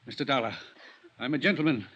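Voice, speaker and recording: An actor playing a proud, genteel rich husband in a 1950s radio drama, formal, protective and menacing. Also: male voice, mid-range voice, quick pace, a bit bright, highs cut off, natural voice. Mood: haughty menace. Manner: formal, haughty, theatrical. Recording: noisy 1950s radio recording.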